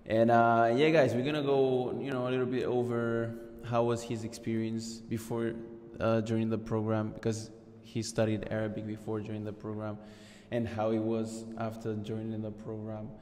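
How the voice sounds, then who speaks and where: A man's voice: lowish voice, monotone, moderate pace, few pauses, a little mellow. A young man hosting a casual video-call interview, asking loose, rambling questions with fillers. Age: young adult. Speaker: host, interviewer. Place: small room indoors. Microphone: headset and studio mic on video call. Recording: reverberant room over video call.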